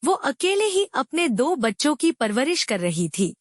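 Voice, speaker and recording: A woman narrating a cartoon moral story in scripted, expressive storybook narration. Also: high voice, unhurried, no echo, studio quality.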